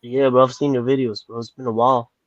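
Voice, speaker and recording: A man's voice: mid-range voice, level tone, fast. A man whose first language is Hindi, calling in to chat casually and slangily through a smartphone. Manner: informal, slangy. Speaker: caller, debate guest. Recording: dull phone microphone, dry sound.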